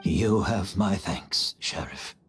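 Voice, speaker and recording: An actor playing a gentle, weary, polite man in a video game, measured and reflective. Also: deep voice, animated, soft background music, heavily acted. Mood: resigned, courteous.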